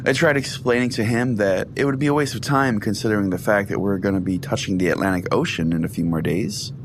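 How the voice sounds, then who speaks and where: A man's voice: mid-range voice, a little hiss or hum. A man hosting a comedy podcast, narrating a scripted first-person tale in a theatrical, earnest storytelling way. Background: music bed under the voice.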